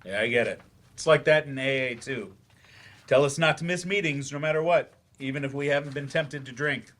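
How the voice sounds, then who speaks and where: An earnest male English teacher with a wry streak , played by a man in a stage reading. His voice sits at an ordinary middle pitch, in the manner of live theatre dialogue. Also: brisk, fairly bright tone, close to an everyday voice. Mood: earnest, subdued. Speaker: actor in a staged play reading.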